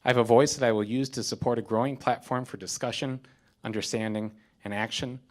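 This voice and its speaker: A man in his thirties speaks as a community responder after a stage performance. He reads from notes in a theater, halting with fillers at first and building to firm declarations. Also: mid-range voice, fairly even intonation. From United States. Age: 30s. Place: theater, in front of an audience.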